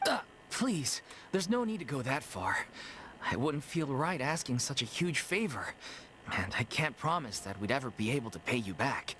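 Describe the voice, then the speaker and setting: A man's voice: medium pitch, very animated, moderate pace, fairly bright tone, poor audio. A man playing an earnest, downhearted young amateur theater troupe member in an anime video game, polite and hesitant. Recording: music behind the voice.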